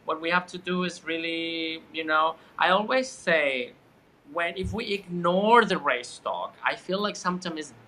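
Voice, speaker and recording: A man's voice: fairly high pitch, measured pace, bright, forceful tone, poor audio. A man in his thirties from Chile, a guest in a casual spiritual conversation who rambles and searches for his words. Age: 30s.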